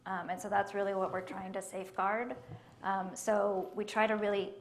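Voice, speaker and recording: Soft-spoken woman on a panel. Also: medium pitch, level tone, a little hiss or hum. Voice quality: quiet voice. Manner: soft-spoken and measured, with many ums. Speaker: library collection manager on a panel. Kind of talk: panel discussion answers. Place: live library event.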